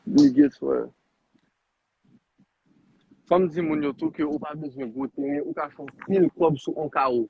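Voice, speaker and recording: A man's voice: a little high, measured pace, gravelly, pauses often, breathy edge, highs cut off. A man in his twenties, a guest on a live interview, chatting casually and rambling with many restarts. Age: 20s. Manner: rambling, switches between Creole and French with many restarts. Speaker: guest, agriculture founder.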